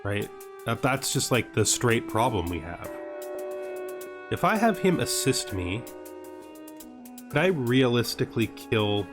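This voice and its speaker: A man's voice: medium pitch, very animated, measured pace, pauses often. A man giving gaming commentary as a series host, thinking aloud as he plays. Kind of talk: gaming commentary with scripted intro narration. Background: background music.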